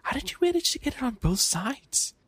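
Man playing a husky, flustered animal-boy maid. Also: very high-pitched, sing-song, brisk, a bit bright, no room sound, heavily acted. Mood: embarrassed, scolding. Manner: flustered, stammering, pouty. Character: bashful, fussy young animal-boy maid café server. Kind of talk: roleplay ASMR acting.

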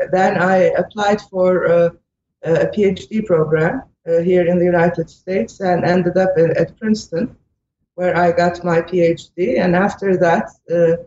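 A middle-aged woman from Turkey with a Turkish accent, talking reflectively and haltingly as an interview guest, with very frequent hesitations. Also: fairly low pitch, dark tone. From Istanbul, Turkey. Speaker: interview guest, sociology professor and author. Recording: echoey, muffled, distant, noisy remote line.

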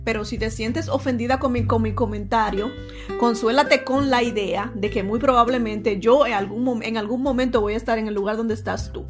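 Chatty, teasing woman podcaster, soft music behind. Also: a little high, fairly even intonation, fairly quick. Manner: chatty and teasing, calls listeners "señores" and "mi amor". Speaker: podcast host. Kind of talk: casual talk to listeners.

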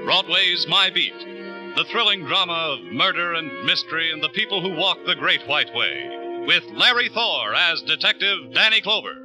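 A man's voice: a little high, sing-song, normal speed, over loud music, rough recording. A man announcing in a polished, emphatic 1950s radio style, reading show introductions and sponsor copy. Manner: polished, emphatic sales delivery.

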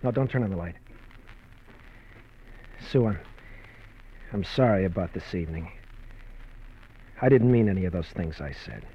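An actor playing an anxious, scheming ex-convict husband in an old radio crime drama, earnest and persuasive. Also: male voice, deep voice, lively intonation, normal speed, long pauses, slightly rough, heavily acted. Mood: troubled, soothing. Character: anxious ex-convict husband living under an assumed name, scheming. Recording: muffled old radio recording.

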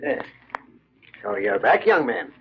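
A sly male shop dealer in an old radio horror play. His husky, lowish voice swings up and down as he talks with smug, condescending deliberation. The recording is dull and vintage. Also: sing-song, takes it slowly, heavily acted. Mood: smug, mocking. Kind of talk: 1940s radio horror acting.

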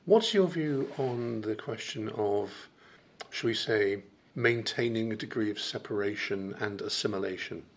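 A man interviewing a guest, asking questions conversationally with measured, tentative phrasing. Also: on the low side.

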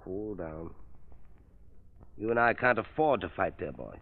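A thin old radio recording holds a suave spy boss. He speaks rather low with patronizing, unhurried courtesy, keeping cool like a practiced villain. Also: very animated, narrow, tinny audio, full character voice. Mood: menacingly calm. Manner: silky, patronizing, unhurried politeness. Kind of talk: old-time radio drama acting.

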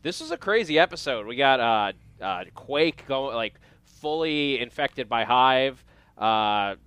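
An upbeat male host with a smooth, clean voice at a middle pitch. He chats loosely about the week's episodes, with plenty of "uh" as he goes. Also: animated, a little slow, fairly bright tone, no room sound. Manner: upbeat, chatty, lots of filler. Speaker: show host. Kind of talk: casual entertainment news chat.

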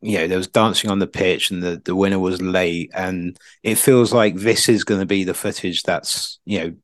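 Football podcast bloke, low voice, dry sound, rambly and casual. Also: male voice, fairly even intonation, moderate pace, no echo.

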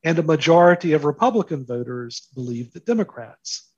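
A man's voice: fairly high pitch, lively intonation, brisk, a little mellow, slightly rough, no room sound, dull audio. A man speaking as an interview guest, explaining his commentary with analogies, rhetorical questions and emphatic repetition.

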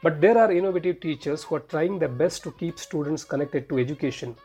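A man's voice: a little high, a little fast, dark tone, husky, faint music behind. A man with an Indian accent reading scripted news narration as a podcast host and reporter.

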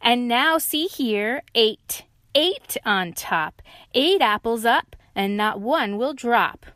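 A woman's voice: on the high side, wildly swooping pitch, drawn-out words, no room sound, clean studio sound, voice pushed up, full character voice. A woman voicing a competitive male lion in a picture book, in a raised, eager voice. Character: competitive male storybook lion balancing apples.

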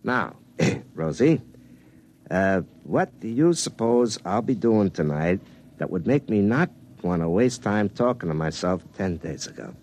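A man's voice: lowish voice, animated, measured pace, darkish tone, slight background noise. An actor playing a cocky, folksy small-town telephone lineman in a 1950s radio drama, speaking in a wry, colloquial way. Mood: sly, flirtatious. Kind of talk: 1950s radio drama acting with first-person narration. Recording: vintage 1950s radio recording.

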